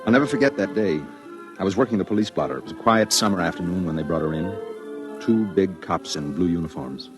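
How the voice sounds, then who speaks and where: A man's voice: low-pitched, fast talker, close to an everyday voice. A man acting an earnest, lonely reporter in an old-time radio drama, with a solemn, literary delivery. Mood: somber, wistful. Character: earnest lonely male reporter narrating and pleading. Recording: vintage radio drama with music behind.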